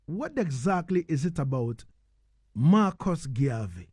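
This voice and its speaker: A man's voice: on the high side, big pitch swings, takes it slowly, darkish tone, slightly rough, dry recording, clean studio sound. A man hosting a live radio interview, speaking emphatically and directly to his listeners.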